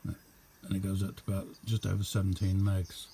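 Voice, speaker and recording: Low mellow guy, rambling hobby show and tell, understated, bit of noise behind him. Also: completely flat delivery, normal speed. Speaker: hobbyist demonstrating a homemade radio. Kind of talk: casual chat, unscripted show-and-tell.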